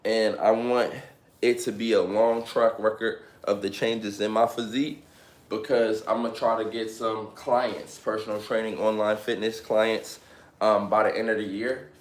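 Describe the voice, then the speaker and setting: A young man in his late twenties, chatting on a live stream with a low voice. He keeps coming back to "you know what I mean" and says his encouraging lines more than once. The room around him is noticeably echoey. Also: little pitch movement, measured pace. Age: 20s. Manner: repeats phrases, motivational, fillers like "you know what I'm saying". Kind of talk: casual live stream chat.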